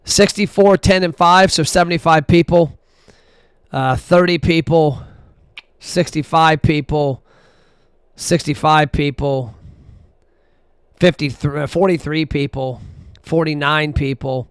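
A man talks straight into a close, dry microphone with a somewhat high voice. He is blunt and animated, chatting casually and counting figures aloud as he goes. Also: takes it slowly, pauses often, clean tone, no echo. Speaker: podcast host. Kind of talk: casual solo podcast talk.

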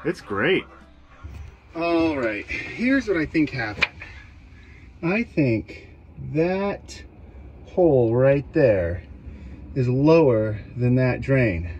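A man's voice: mid-range voice, sing-song, extremely slow, pauses often, lo-fi sound, a little hiss or hum. Wry man narrating a boat repair. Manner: wry, understated, talks to himself while working. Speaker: boat owner vlogger. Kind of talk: casual vlog commentary while working. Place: small cabin of a boat, indoors. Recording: music under the voice. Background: background music.

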